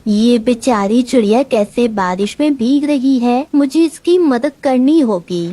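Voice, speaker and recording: A woman voicing a kindly, motherly old bulbul in an animated moral story. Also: on the high side, measured pace, slight background noise, natural voice. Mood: concerned.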